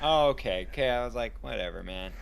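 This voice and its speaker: A man's voice: mid-range voice, lively intonation, fast talker, vocal fry, smooth. A man co-hosting a podcast in casual chat, playful and teasing toward his friend. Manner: playful, teasing, calls friend dude and homie. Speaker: podcast co-host.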